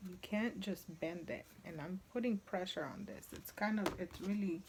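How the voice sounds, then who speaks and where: A woman's voice: mid-range voice, normal speed, noisy background. A woman in her forties, a native Spanish speaker, chatting casually in a rambling vlog about her sewing tools, with many restarts along the way. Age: 40s. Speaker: sewing vlogger.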